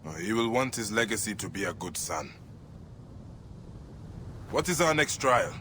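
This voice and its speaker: A man playing a steady, kindly ancient Egyptian warrior and father in a video game, speaking in a measured, reassuring way. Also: fairly low pitch, a little hiss or hum, full character voice. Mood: calm, encouraging. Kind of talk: video game cutscene acting.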